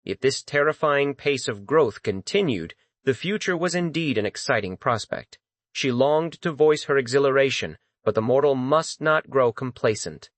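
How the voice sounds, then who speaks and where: A man's voice: mid-range voice, lively intonation, moderate pace, no room sound. A man reading dramatic scripted fantasy narration as an audiobook narrator.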